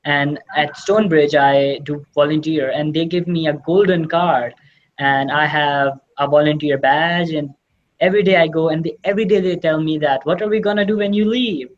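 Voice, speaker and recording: A man's voice: a little high, fairly even intonation, moderate pace, a little hiss or hum. Teen male from Pakistan, native Urdu, eager interview guest, slightly dull audio. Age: teens. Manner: earnest, enthusiastic, repeats "really" often. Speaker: exchange student guest. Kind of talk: casual interview answers.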